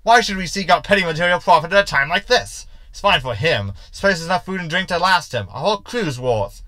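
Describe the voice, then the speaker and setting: A man's voice: a little high, lively intonation, a little fast, bright, forceful tone, no room sound. A man playing a deranged ship's hologram in a sci-fi comedy audio drama, theatrical, pompous and scornful, dropping mock Latin. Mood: scornful, self-pitying. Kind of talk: science fiction comedy audio drama acting.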